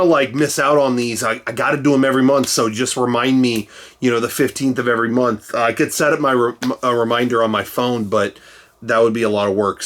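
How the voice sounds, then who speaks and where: Rambling, jokey man with a cold. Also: a bit bright. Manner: rambling and jokey, with sudden jumps in pitch. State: getting over a cold. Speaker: host. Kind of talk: casual chat. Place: cluttered room at home.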